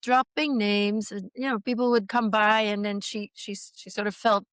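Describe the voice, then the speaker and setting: A woman in her sixties from Belgium, a guest in an interview, speaking in a measured, reflective way with soft pauses. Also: mid-range voice, little pitch movement, no room sound. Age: 60s. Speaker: guest, author and survivor. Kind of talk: conversational interview.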